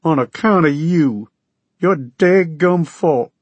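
A hulking middle-aged farmer from Oklahoma hollers through a pinched, high, twangy Oklahoma accent, grief boiling into rage. The tone has a rough, husky edge, and the recording is close and dry with no room around it. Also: male voice, takes it slowly, no echo, highs cut off. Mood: enraged. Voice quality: twangy, shouting. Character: big furious weeping middle-aged male Okie farmer.

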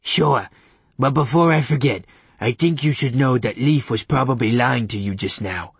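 Man playing male talking hound, creaky husky voice, guileless, eager, plain-spoken, audiobook. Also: medium pitch, moderate pace, dry recording. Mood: eager, earnest. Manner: plain-spoken, naive, easily offended. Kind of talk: audiobook character acting.

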